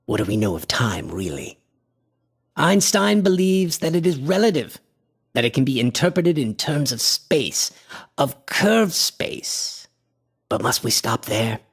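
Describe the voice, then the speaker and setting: An actor playing an obsessed, eccentric antiquarian visionary in a radio horror drama, with a husky, impassioned and pompous delivery. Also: male voice, medium pitch, big pitch swings, unhurried, heavily acted. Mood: musing, persuasive.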